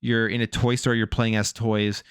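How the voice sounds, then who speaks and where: A man's voice: fairly low pitch, little pitch movement, moderate pace, smooth, no echo, clean studio sound. A man presenting video game news as a host, in a casual commentary style. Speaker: gaming news YouTube host.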